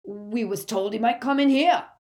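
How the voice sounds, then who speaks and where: A woman's voice: on the high side, normal speed, pitched above the performer's own voice, close to an everyday voice. A woman voicing a brutish, hot-tempered male wizard thug who talks in coarse dialect. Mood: crafty. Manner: loud, coarse dialect speech.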